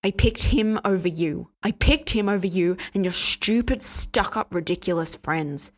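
A woman reading a story aloud, voicing a furious, cornered young man in a low, blunt, hard-edged voice. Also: animated, normal speed, natural voice. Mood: bitter, defiant.

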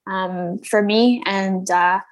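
A woman's voice: mid-range voice, fairly even intonation, slow. Hesitant Scottish-born woman, North American accent. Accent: North American with slight Scottish. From Scotland. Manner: hesitant, many ums and restarts. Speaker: singer being interviewed. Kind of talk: casual interview chat.

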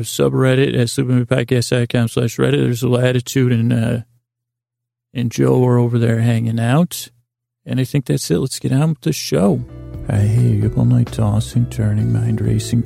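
Creaky-voiced man in a soft, rambling monologue. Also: mid-range voice, no room sound, clean studio sound. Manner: meandering, whimsical, deliberately soothing. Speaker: podcast host. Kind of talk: rambling bedtime monologue meant to lull listeners to sleep.

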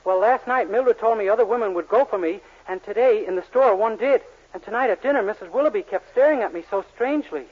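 Innocent young male clerk played by an actor, high tenor pitch, wholesome and earnest, noisy vintage radio comedy. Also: quick pace, natural voice. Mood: innocently earnest. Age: young adult. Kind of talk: 1940s radio sitcom acting. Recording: muffled, noisy 1940s radio recording.

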